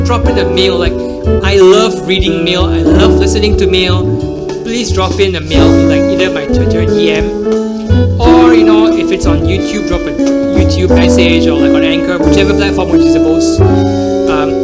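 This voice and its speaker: A young Singaporean man with a Singaporean accent, hosting a casual solo podcast and rambling with many fillers. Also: high voice. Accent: Singaporean with American-leaning English. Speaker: podcast host.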